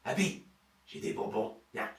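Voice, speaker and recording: A man's voice: measured pace, very echoey, far from the mic, loud music behind the voice, natural voice. A man voicing a creepy, menacing villain who lures children, in a parody.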